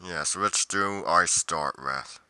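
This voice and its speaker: A man playing a brooding, solitary monster investigator in a roleplay monologue, musing deliberately with pauses mid-sentence. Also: sits low, bright, forceful tone, clean tone, no room sound, exaggerated voice. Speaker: roleplay performer.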